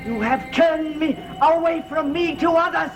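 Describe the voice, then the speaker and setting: A woman's voice: sits high, a little slow. A woman playing a defiant, long-serving household servant in a gothic TV soap, speaking formally and dramatically. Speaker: actor in a TV serial. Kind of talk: gothic soap opera acting. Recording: old TV soundtrack, loud music.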